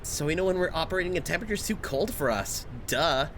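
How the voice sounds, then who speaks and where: A woman's voice: on the low side, expressive, fast, lightly acted. A woman voicing an earnest, good-natured young robot boy hero in a comic dub, boyish and matter-of-fact. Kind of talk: cartoonish comic dub acting. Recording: faint noise, ambience behind.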